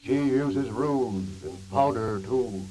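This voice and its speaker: An actor playing a blunt, truthful magic mirror, reciting solemnly in measured rhyme in an old radio skit. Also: male voice, mid-range voice, lively intonation, warm tone, low-quality recording. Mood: solemn, frank. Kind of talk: 1930s radio acting in an ad skit. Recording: hissy old 1930s radio recording.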